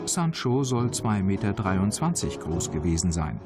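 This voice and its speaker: Low-voiced male documentary narrator. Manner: calm, measured, informative. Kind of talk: scripted travel documentary narration. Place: voice-over studio. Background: background music.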